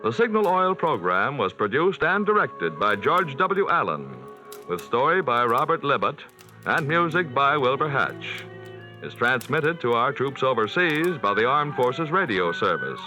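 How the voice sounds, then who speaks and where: A man's voice: medium pitch, big pitch swings, a little slow, pushed and bright, faint music behind. A man speaking as a 1940s radio announcer, with a polished, emphatic salesman's delivery for the sponsor. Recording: dull vintage 1940s radio broadcast.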